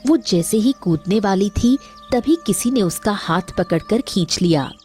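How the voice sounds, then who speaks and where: A woman's voice: mid-range voice, animated, a little slow, a little mellow, soft background music. A woman narrating a scripted cartoon story as its storyteller.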